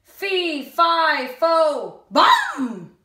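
A woman's voice: extremely high pitch, huge pitch swings, drawn-out words, pushed and bright, far from the mic, exaggerated voice. A woman voicing an angry, blustering male giant from a children's storybook. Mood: booming, menacing. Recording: echoey room sound.

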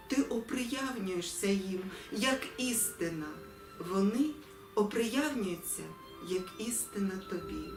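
A woman from Ukraine reading poetry in a solemn, deliberate, expressive way with dramatic pauses. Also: on the high side. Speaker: poetry reader. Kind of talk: solemn poetry recitation with spoken introduction. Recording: echoey room, distant mic, music behind.

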